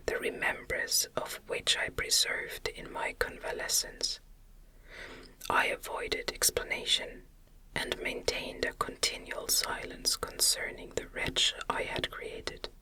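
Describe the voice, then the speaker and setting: Slow whispering woman reading a story. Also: poor audio. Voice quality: breathy, unvoiced whisper. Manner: gentle, soothing, unhurried. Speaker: audiobook reader. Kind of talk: ASMR whispered reading of a gothic novel.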